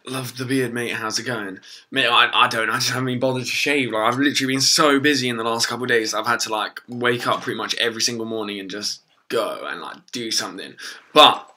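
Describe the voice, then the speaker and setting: A young man, hoarse from losing his voice, rambling casually through a live stream with lots of ums and swearing. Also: medium pitch, fairly quick, sharp tone. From England.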